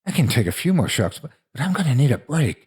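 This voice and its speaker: Guy doing a scared kid pleading like crazy, voice way up, kinda rough. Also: mid-range voice, sing-song, fast talker, voice pushed up. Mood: pained pleading. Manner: desperate, begging. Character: terrified boy pleading and screaming to be released.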